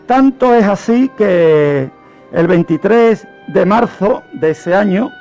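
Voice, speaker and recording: A man's voice: high voice, expressive, takes it slowly, darkish tone, soft background music. A man with an accent from Spain telling history on the radio in an unscripted, didactic way, posing questions and answering them. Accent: Spanish from Spain. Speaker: history segment speaker on a radio programme.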